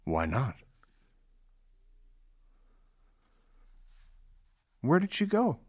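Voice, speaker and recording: Husky, earnest man, a persistent patient. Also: medium pitch, wildly swooping pitch, normal speed, darkish tone, no echo, clean studio sound. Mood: concerned, earnest. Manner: earnest, hesitant, questioning. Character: gentle, persistent male patient, a probing father. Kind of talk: radio drama acting.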